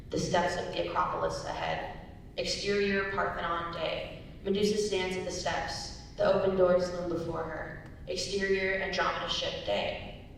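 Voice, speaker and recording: A young woman reading scripted narration and stage directions as a narrator. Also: vocal fry. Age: young adult. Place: large echoey indoor performance space. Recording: very echoey hall, distant mic, rumbly noise.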